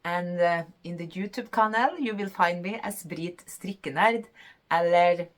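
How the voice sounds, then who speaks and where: Slow, hesitant Norwegian woman in her fifties. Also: lowish voice. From Norway. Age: 50s. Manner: hesitant, many fillers, laughs between phrases. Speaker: podcast host. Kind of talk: casual chat.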